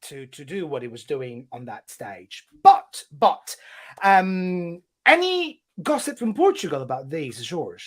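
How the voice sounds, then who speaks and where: A host chatting animatedly over a video call, gossipy and playful, with frequent hesitations. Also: male voice, on the high side, sing-song, unhurried. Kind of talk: casual video call chat.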